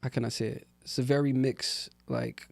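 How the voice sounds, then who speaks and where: Laid-back gravelly Newark man. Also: mid-range voice, moderate pace, no room sound, faint music behind. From Newark, New Jersey. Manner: laid-back, relaxed street talk with many fillers. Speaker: rapper and guest. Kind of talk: casual interview talk.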